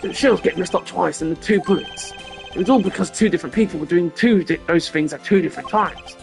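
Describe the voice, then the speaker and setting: A man voicing a loyal, eager, slow-witted male police detective in a game, with an excitable, puzzled, blunt manner. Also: sits high, gravelly, pitched above the performer's own voice. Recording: music behind the voice.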